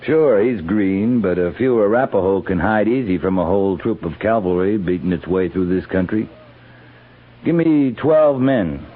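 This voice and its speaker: A man's voice: low-pitched, expressive, moderate pace, narrow, tinny audio. An American man, middle-aged, playing a seasoned, stubborn cavalry captain with clipped, dry command, in 1950s radio western style. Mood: insistent. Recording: thin band-limited old radio recording.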